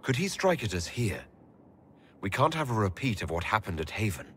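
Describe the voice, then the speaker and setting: An actor playing a calm, authoritative leader in a game cutscene, speaking directly and briefly. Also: male voice, lowish voice, normal speed, a little hiss or hum. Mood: serious. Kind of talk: video game cutscene acting.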